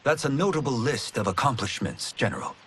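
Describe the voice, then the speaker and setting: An actor playing a courteous, earnest young fighter in a game cutscene, speaking politely and with restraint. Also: male voice, mid-range voice, expressive, fairly quick, a bit bright, slight background noise, lightly acted. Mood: courteous respect. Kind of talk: dramatic video game cutscene acting.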